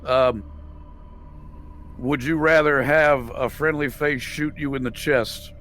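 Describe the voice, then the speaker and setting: A man's voice: medium pitch, fairly even intonation, slow pace, a bit bright, a little hiss or hum. A man chatting casually as a player in a tabletop roleplaying game. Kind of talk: casual chat.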